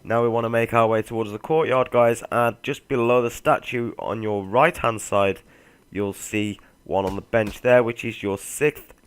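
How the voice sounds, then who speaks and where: A man's voice: medium pitch, steady tone, moderate pace, clear, resonant tone. Casual man narrating a game guide. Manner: laid-back, addresses viewers directly. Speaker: gaming video host. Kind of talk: casual game walkthrough voice-over.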